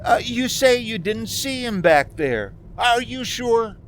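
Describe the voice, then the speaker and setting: A man's voice: very high-pitched, very animated, slow, a bit bright, exaggerated voice. A man playing an anxious, haunted young driver in a radio drama, stammering, hesitant and pleading. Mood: agitated, insistent. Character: anxious, haunted young man driving cross-country alone. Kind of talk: 1940s-style radio drama acting and narration. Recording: faint background noise, community theater recording.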